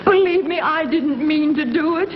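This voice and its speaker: A woman acting as a pleading wife in a 1960s TV sitcom, with plaintive, slow melodrama. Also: high-pitched, natural voice. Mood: tearful pleading. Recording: muffled, noisy 1960s TV soundtrack.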